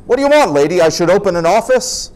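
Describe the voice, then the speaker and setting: A man voicing a brazen, indignant street beggar from a folk tale, with quick-retort delivery. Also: sits high, animated, a little mellow, rough recording.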